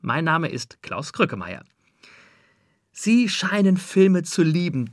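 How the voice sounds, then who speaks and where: A man's voice: on the high side, no room sound, studio quality. A man hosting a radio interview, presenting and asking in a prepared, structured way. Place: radio studio.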